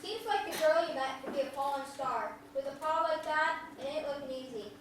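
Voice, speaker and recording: A woman's voice: sits high, brisk, natural voice. A woman playing a fanciful, teasing young friend with a folksy way of talking in a staged play reading. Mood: wistful, teasing. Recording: echoey room, distant, faint noise.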